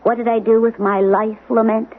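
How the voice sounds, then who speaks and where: An actress playing a sharp, teasing wife who keeps a close, quick eye on her husband's moods. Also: a little high, level tone, natural voice. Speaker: supporting lead. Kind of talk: 1970s radio drama acting. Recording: tinny lo-fi old radio broadcast with faint hiss.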